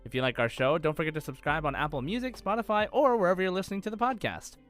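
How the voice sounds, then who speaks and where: A man's voice: on the high side, fast, fairly bright tone, faint music behind. A man co-hosting a gaming podcast, talking in a casual, conversational way. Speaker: podcast co-host.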